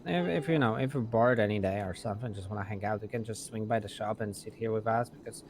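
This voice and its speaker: A man in casual role-play chat, explaining things in a halting way and repeating phrases. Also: fairly low pitch, darkish tone. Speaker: role-player in an online crime game. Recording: clear car and ambient noise behind.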